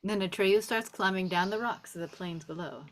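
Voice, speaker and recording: A woman's voice: medium pitch, fairly quick. A woman narrator reading scene descriptions and stage directions aloud at a script table read.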